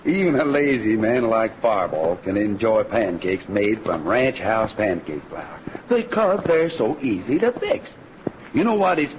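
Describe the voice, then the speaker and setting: An actor playing a genial cowboy rancher who hosts an old radio show with folksy, easygoing talk. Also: male voice, fairly low pitch, a little hiss or hum. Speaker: radio show host and pitchman. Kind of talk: 1940s radio show hosting. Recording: muffled old radio recording, loud music.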